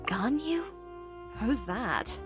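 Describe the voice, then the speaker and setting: A woman's voice: on the high side, sing-song, extremely slow, heavily acted. A woman playing a confident, determined young fashion designer in a video game, composed, dry and blunt. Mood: wry resolve. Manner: composed, self-assured, wry. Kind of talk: video game character acting. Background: music.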